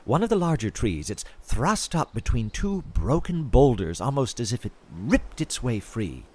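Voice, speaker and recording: Casting: male actor as an entranced field researcher losing his grip, hugely animated pitch, halting audio-fiction log delivery. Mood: intrigued, uneasy. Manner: dramatic, halting, wondering delivery. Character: eerily fascinated male field researcher slipping into madness.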